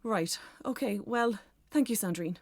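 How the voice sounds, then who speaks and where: A woman's voice: mid-range voice, a little slow, dry recording, studio quality. A woman acting a harried, anxious mother in a children's audio drama. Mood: resigned. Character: harried, anxious mother hurrying her daughters.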